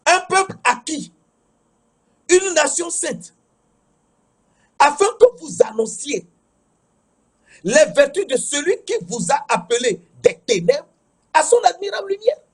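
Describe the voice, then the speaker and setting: A man with a West African French accent preaching a sermon in an emphatic, repetitive way, with a husky, rough-edged voice. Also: extremely high pitch, very animated, measured pace, long pauses. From Togo. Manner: emphatic, repeats key phrases.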